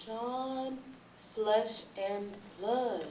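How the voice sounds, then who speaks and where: A woman's voice: a little high, fairly even intonation, very slow. A woman playing a guarded, wistful, unhappy forty-year-old in a readers theatre drama, speaking hesitantly in folksy phrases. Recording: roomy, distant, noisy recording.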